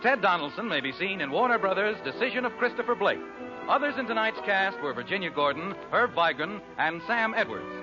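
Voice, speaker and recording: A male American announcer in vintage radio style, with a high, lively delivery. Music plays behind him on a muffled old recording. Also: a little fast. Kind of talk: 1940s radio scripted announcing.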